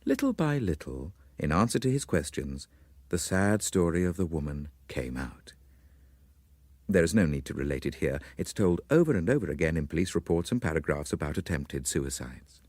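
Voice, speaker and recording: A man narrating a literary story in a dramatic, measured and formal manner. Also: rumbling low, sing-song, darkish tone, dry recording.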